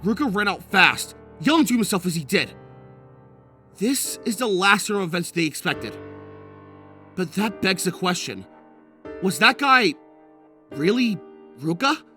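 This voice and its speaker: A man playing a dramatic, excitable story narrator in an audio drama, theatrical and suspenseful in scripted narration. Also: high-pitched, unhurried, pauses often, soft background music, heavily acted.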